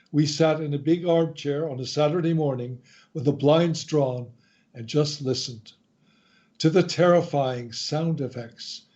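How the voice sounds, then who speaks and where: A man hosting a radio show, reading a scripted introduction in a deliberate, announcer-like way. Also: fairly high pitch, mellow. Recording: slightly dull, lo-fi sound.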